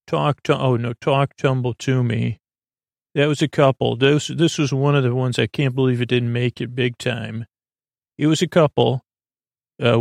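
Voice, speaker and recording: A man hosting a sleep podcast in a halting, rambling monologue, full of stammers and false starts, with a deadpan whimsy. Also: mid-range voice, vocal fry, dry recording, clean studio sound. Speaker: podcast host.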